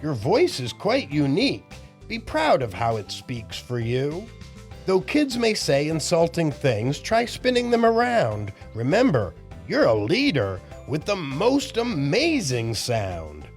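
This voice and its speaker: A man's voice: fairly high pitch, wildly swooping pitch, takes it slowly, faint music behind, exaggerated voice. A man voicing a kind, wise young schoolchild in a storybook, speaking encouraging rhymes earnestly. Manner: earnest, encouraging, rhyming speech.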